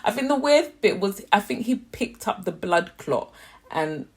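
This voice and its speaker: A young British woman casually reviewing a TV series, chatty and slangy with animated asides. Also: medium pitch, measured pace, slightly rough. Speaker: YouTube TV reviewer.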